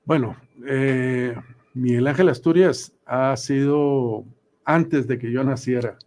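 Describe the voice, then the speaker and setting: Unhurried, mellow man in his sixties. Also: medium pitch. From Guatemala. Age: 60s. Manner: measured, deliberate, with pauses. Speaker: interview guest. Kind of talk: reflective radio interview answers, recounting memories.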